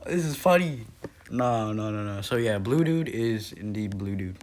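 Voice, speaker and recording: A man's voice: fairly low pitch, lively intonation, normal speed, a little mellow. Rambling young guy, lots of fillers. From Nepal. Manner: rambling, hesitant, many fillers and restarts. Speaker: youtuber. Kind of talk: casual lore video narration.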